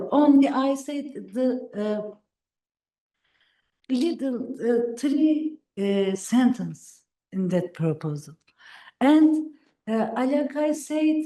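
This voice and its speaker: A woman giving an academic lecture, speaking haltingly and pausing often to search for words. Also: on the high side, dark tone. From Turkey. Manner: halting, hesitant, many pauses searching for words. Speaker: guest lecturer. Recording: roomy lecture hall.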